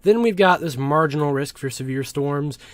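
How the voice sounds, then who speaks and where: A man with an American accent explains things as an informal weather forecast host, in a halting way with repeated words. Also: on the high side, no room sound. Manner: halting, explanatory, with word repetitions. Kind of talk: informal weather map commentary.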